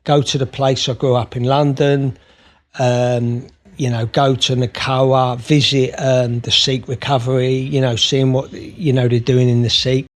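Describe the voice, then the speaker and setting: A man's voice: mid-range voice, level tone, a little slow. A man guesting on a podcast, talking openly and at length with many fillers and restarts. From Scotland. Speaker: podcast guest, therapist and author. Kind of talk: conversational interview.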